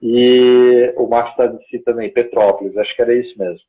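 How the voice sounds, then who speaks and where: Guy on a tinny call, mid-pitched, rambling casual story, keeps tossing in little tag questions. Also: fairly even intonation, a little fast. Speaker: guest researcher. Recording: tinny phone-like call audio.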